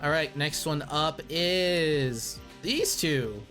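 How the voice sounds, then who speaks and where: A young man hosting a relaxed group chat. His voice sits a little high for a man, and faint music plays underneath. He rambles cheerfully and leans on fillers. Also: drawn-out words. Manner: upbeat, rambling, with many fillers. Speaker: host. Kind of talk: casual chat ranking anime couples.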